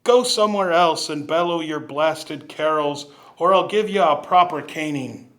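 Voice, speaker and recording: A man's voice: on the high side, unhurried, fairly bright tone. A man acting a grumpy, miserly old businessman in an old-time radio drama, scolding and full of contempt. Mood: angry, scolding.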